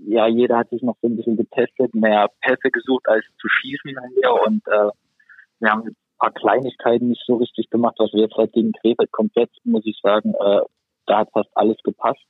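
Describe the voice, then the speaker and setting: A male hockey player, a podcast guest, answering in a halting way with many fillers over a remote call. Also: mid-range voice, steady tone, breathy edge, dry recording. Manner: halting, lots of fillers and restarts. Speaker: ice hockey defenceman guest. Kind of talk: casual podcast interview. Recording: tinny phone-line sound, dry.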